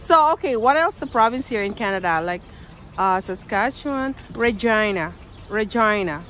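A woman's voice: medium pitch, expressive, normal speed, a bit bright, slight background noise. A woman in her thirties from the Philippines with a Filipino accent, talking casually to a phone camera outside on a snowy driveway. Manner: chatty, explaining, loose grammar. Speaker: vlogger. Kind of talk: casual vlog talk to camera. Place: outdoors on a snowy suburban driveway. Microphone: phone held at arm's length.

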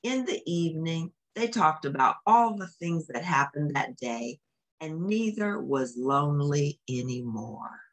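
A woman's voice: sits low, expressive, unhurried. A woman reading a picture book aloud to young children, explaining it in simple phrases. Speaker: children's story reader.

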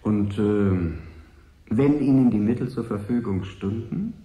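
An actor playing a smooth, polite but ruthless consortium agent in a radio drama, articulate, wry and persuasive. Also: male voice, fairly low pitch, a little slow, warm tone, echoey room, close to an everyday voice. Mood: insistent, persuasive. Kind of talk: 1980s German radio drama acting.

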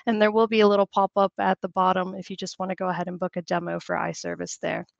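A woman, slightly hoarse from a cold, presenting a webinar over a video call in a chatty, upbeat way. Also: fairly low pitch, little pitch movement, fast, no echo. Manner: chatty, upbeat, frequent "you know". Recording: dry, slightly dull video call audio.

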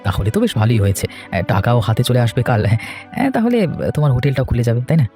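A man's voice: mid-range voice, very animated, rapid-fire, dark tone, soft background music, natural voice. A man playing an earnest, reassuring village husband in a cartoon, talking casually. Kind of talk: cartoon voice acting.

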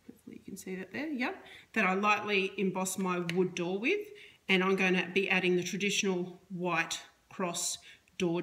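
A middle-aged woman with a slightly low voice talks casually through a cake decorating tutorial. She explains each step in a chatty way, often restarting her sentences. She is recorded in her home kitchen by a camera sitting on the counter. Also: a bit bright. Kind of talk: casual how-to demonstration.

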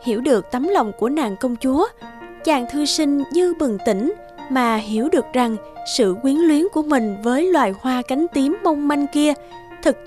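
High-voiced woman narrating a cartoon tale. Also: darkish tone. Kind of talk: scripted storybook narration for a cartoon.

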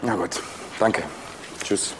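An actor playing a weary, sceptical police investigator, speaking colloquially in a German crime radio drama. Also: male voice, slow pace, noisy background, lightly acted.

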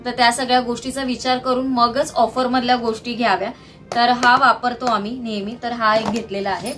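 A woman's voice: on the high side, little pitch movement, brisk, a bit bright, faint music behind. A woman, chatting casually to viewers and explaining things item by item like a home vlogger. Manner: explanatory, itemising. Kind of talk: casual product haul chat to viewers. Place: small indoor room at home, seated on the floor.

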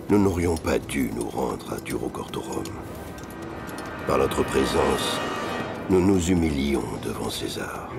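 An older actor playing a grave, proud old Gaulish nobleman with a gravelly voice, speaking solemnly in an animated docudrama. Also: rumbling low, exaggerated voice. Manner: solemn, weighty. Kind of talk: dramatic dialogue and reflective narration in animated docudrama.

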